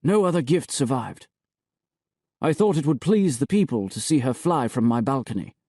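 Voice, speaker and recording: A man voicing an anxious, proud high priest who speaks in a strained, raised voice. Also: expressive, moderate pace, no echo, studio quality. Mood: tense. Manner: strained, higher and louder than narration.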